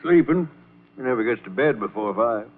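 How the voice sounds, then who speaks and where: A man's voice: mid-range voice, expressive, normal speed, lightly acted. An actor playing an older, folksy, fatherly and wary kitchen hand in an old-time radio drama. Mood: dry, nosy. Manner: folksy, hesitant, colloquial. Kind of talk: 1940s radio drama acting. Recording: tinny old radio recording.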